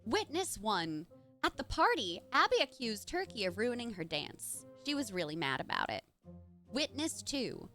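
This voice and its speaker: A woman's voice: fairly high pitch, wildly swooping pitch, normal speed, pushed and bright, heavily acted. A woman reading the lines of an eager young woman sidekick sleuth, playful and dramatic, over faint music. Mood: conspiratorial. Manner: reads dialogue aloud, playful dramatic.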